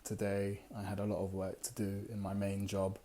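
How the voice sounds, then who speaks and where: A man with a low, mellow voice that barely rises or falls talks through a book review. He sounds tired and a little raspy, and he rambles along with fillers, pausing now and then to read passages aloud. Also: monotone. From United Kingdom. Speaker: book club host.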